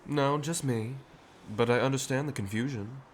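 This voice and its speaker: An actor playing a cheerful, self-assured, century-old vampire rebel leader in an audio drama, courteous and persuasive with a theatrical manner. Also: male voice, medium pitch, moderate pace, a little hiss or hum, close to an everyday voice. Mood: wry, chipper. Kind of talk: scripted audio drama acting.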